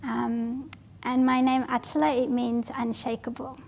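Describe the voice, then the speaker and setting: A Buddhist nun, reading out audience questions at a retreat Q&A, light and self-deprecating with frequent laughter. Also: female voice, high-pitched, flat delivery, measured pace, vocal fry, darkish tone. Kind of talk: Q&A panel at a retreat. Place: retreat hall with a live audience.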